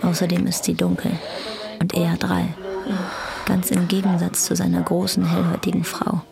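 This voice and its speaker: A woman's voice: on the low side, completely flat delivery, normal speed, creaky, a crowd in the background. A woman narrating a radio drama in a cool, detached way, at times close to a whisper.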